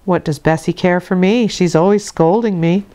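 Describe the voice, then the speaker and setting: A woman's voice: lowish voice, normal speed, warm tone, natural voice. A woman voicing a lonely, sorrowful little girl in a storybook, wary but bold, speaking in a plain, guarded way. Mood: defiant.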